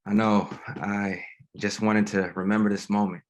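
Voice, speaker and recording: An actor playing a gentle, grieving pastor in a dramatic table read, speaking plainly and haltingly. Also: male voice, lowish voice, little pitch movement, lightly acted. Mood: reflective. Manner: reflective, plain-spoken, halting. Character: grieving, gentle, reflective male pastor, widowed father.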